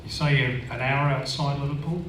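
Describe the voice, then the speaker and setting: A man from Manchester chairs a panel discussion, his teasing banter carrying through a big echoing room. He sits at a distance from the mic, and a steady murmur of noise sits under him. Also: mid-range voice, fairly quick. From Manchester, England. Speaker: panel moderator. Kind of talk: hosting a live panel discussion. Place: function room with an audience. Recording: echoey hall, distant mic.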